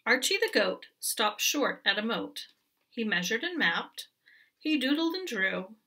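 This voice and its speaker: A woman teacher reading a children's picture book aloud with expressive, rhythmic storytelling. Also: on the high side, measured pace, recorded from a distance.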